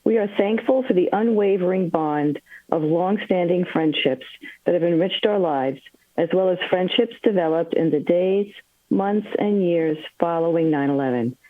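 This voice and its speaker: A woman's voice: lowish voice, moderate pace, no room sound. Tinny, phone-like recording of woman reading. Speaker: ceremony reader. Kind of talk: formal scripted commemorative reading. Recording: dry, tinny phone-like sound.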